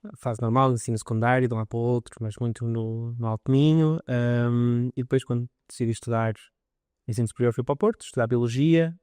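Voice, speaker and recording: Podcast guest: young man, quick and eager, smooth clear voice, dry close sound. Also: medium pitch, a little mellow, no echo. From northern Portugal. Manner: eager, detailed storytelling. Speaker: guest biologist. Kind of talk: podcast interview.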